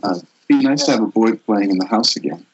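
A man playing a gentle, wry middle-aged father with a husky voice in an amateur script read over a muffled call. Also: fairly low pitch, expressive, fairly quick. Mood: warm, wry. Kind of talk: amateur screenplay table read.

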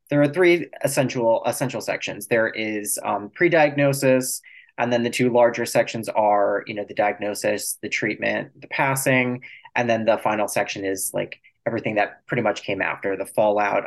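A man speaking as an author guest in an interview, explaining things reflectively and choosing his words carefully. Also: fairly low pitch.

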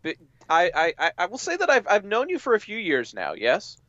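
A man's voice: high voice, wildly swooping pitch, normal speed, bright, forceful tone, no room sound. A man co-hosting a podcast in casual, conversational chat on a slightly lo-fi voice track. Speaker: podcast co-host.

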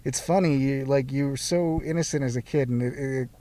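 A man hosts a relaxed sit-down chat. He asks his questions loosely, trails off into side stories and laughs often. His voice sits at an ordinary middle pitch. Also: brisk. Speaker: podcast host. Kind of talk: casual interview chat.

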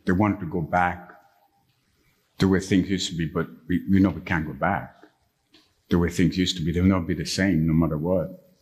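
A middle-aged pastor from Uganda delivers a storytelling sermon in a fairly low voice that leaps up high and emphatic when stirred. His words ring out with the long reverb of a church sanctuary. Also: fairly even intonation, moderate pace, long pauses, a little mellow.

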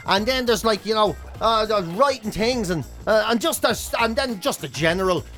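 Male Irish podcast co-host, notably high and forceful, comic mock-complaining, casual and sweary. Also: moderate pace, faint music behind.

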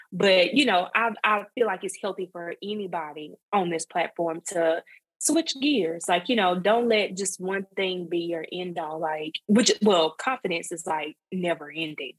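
A woman's voice: on the low side, fairly bright tone, husky, no echo. A woman speaking as a guest in an interview, chatting loosely and filling her talk with "um" and "you know". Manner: rambling, full of fillers like "um" and "you know". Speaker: guest, content creator. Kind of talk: casual interview chat.

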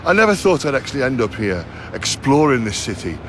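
A man's voice: medium pitch, very animated, a little fast, a bit bright, a little hiss or hum. Chatty Scouse man presenting a travel show. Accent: Liverpool (Scouse). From Liverpool, England. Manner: chatty, direct address to viewers. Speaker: documentary presenter.

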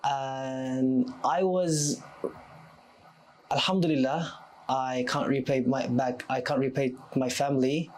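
A man's voice: mid-range voice, steady tone, slow. A man in his twenties from Turkey with a Turkish accent, speaking English as a podcast guest in a conversational way. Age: 20s. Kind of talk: conversational interview answers.

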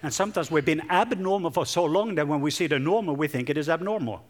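A man's voice: fairly high pitch, quick pace. Man preaching from a lit stage. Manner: warm, joking with the congregation, loose rambling delivery. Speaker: guest preacher. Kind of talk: sermon. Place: large church hall with stage lights.

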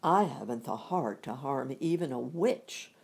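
A woman voicing a kindly man made of tin in a storybook reading, gentle and loyal in manner. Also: extremely low pitch, animated, unhurried, creaky, exaggerated voice.

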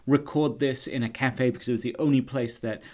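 A man's voice: mid-range voice, steady tone, fairly quick, a little mellow. A man hosting a casual interview, chatty with rambling lead-ins, recorded at an outdoor cafe. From England. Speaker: podcast host. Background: cafe and traffic noise.

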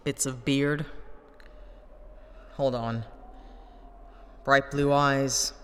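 A woman's voice: very deep voice, steady tone, extremely slow, a bit bright, exaggerated voice. A woman playing a tough, impatient, teasing adventurer with a blunt, mocking manner in a sci-fi audio drama. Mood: grim, appraising. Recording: long reverb, clearly audible background noise.

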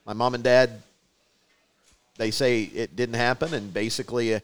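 Halting, self-deprecating man. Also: mid-range voice, fairly even intonation, clear, resonant tone. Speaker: podcast co-host. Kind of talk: casual chat, storytelling.

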